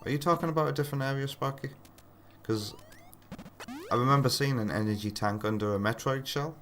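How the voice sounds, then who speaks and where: A man's voice: medium pitch, brisk, fairly bright tone. A British man from the UK, chatting casually on a live game stream, reading out chat messages and muttering at the game. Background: game music.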